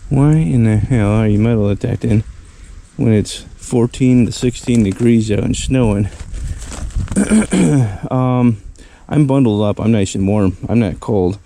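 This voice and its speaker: A man from Western New York with a hoarse voice from a cold, narrating his metal detecting finds outdoors in the snow, rambling and excited over each relic. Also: on the low side, measured pace, a little hiss or hum. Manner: rambling, enthusiastic about finds, many fillers. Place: outdoors in a snowy old park.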